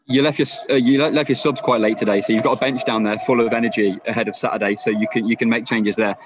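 A male sports reporter fires off questions at a press conference, speaking quickly and briskly. His tone stays friendly and his pitch sits in the middle of the male range. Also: steady tone, noisy background.

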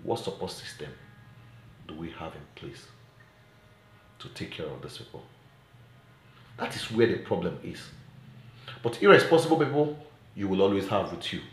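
A middle-aged man with a Nigerian accent speaks earnestly to camera as an advocate, deliberate and emphatic, posing rhetorical questions. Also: sits low, animated. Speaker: child welfare advocate and presenter. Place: small indoor room at home. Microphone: phone at arm's length. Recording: roomy echo, faint background noise.

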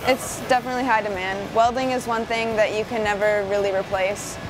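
A young adult woman studying welding, speaking in a friendly, relaxed way. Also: medium pitch, fairly quick, rough recording. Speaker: welding student. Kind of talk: promotional interview. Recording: music bed underneath.

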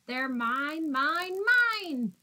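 A bossy little boy dinosaur from a storybook , acted by a woman, high and unhurried. He is possessive and grabby, drawing out his protests. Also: exaggerated voice. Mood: possessive, demanding. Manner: wailing and shouting, drawn-out possessive protests. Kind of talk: children's storybook read-aloud.

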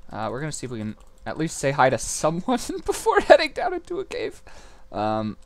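A young man talks through his Minecraft game at a desk mic, voice clear and smooth at an ordinary pitch. He is coming down with a cold and his throat is a little scratchy. He rambles with steady uh and um fillers while keys click behind him. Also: dry recording. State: getting sick, scratchy throat. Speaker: gaming channel host. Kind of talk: casual Minecraft commentary.

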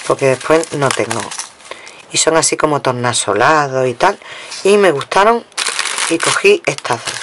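A woman from Spain casually showing a craft-supply haul at her table, chatting to viewers and counting items aloud. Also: low-pitched. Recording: noisy home recording with crinkling packets.

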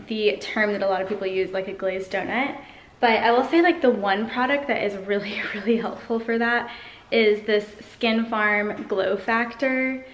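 A woman's voice: mid-range voice, fairly bright tone. Echoey, chatty American woman vlogger. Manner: informal, peppered with "like". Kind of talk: casual chat to camera. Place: indoors, large high-ceilinged house room. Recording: echoey room, faint noise.

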